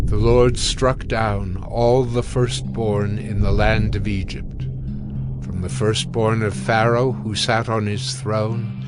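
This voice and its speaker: A man narrating dramatized scripture in a solemn, measured storytelling manner. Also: on the low side.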